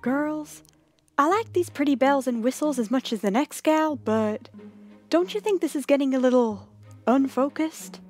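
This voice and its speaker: Woman voicing a high, folksy, sensible country girl. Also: animated, moderate pace, a little mellow, faint music behind. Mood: skeptical. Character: plainspoken, sensible country girl, mildly skeptical.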